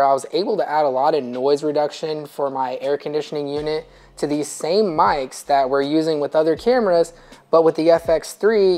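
A man's voice: fairly high pitch, mellow. A man in his thirties hosting a camera gear tutorial, explaining settings step by step in a friendly way, recorded on clip-on wireless mics in a home living room. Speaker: host of a filmmaking gear channel.

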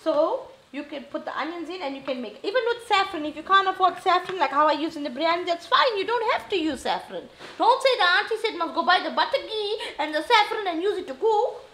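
A woman's voice: very high voice, lively intonation, a little fast, few pauses, sharp tone. A middle-aged woman with a South African Indian English accent, chatting reassuringly as she demonstrates a recipe at home. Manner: chatty, reassuring, talks while cooking. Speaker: cooking show host. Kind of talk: casual cooking demonstration. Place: home kitchen, indoors. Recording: echoey kitchen with frying noise.

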